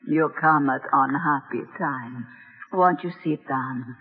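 Grieving mother, woman, husky, echoing hall, muffled vintage radio drama. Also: a bass voice, slow. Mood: sorrowful. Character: grieving older Polish mother in mourning, gracious. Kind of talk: old-time radio drama acting. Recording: muffled vintage radio recording, reverberant, music.

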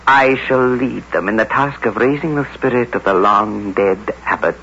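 A man's voice: on the low side, normal speed, sharp tone. An actor in an old radio drama playing a menacing husband who leads a witches' coven, commanding and coldly taunting. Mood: threatening. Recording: dull, noisy old radio recording.

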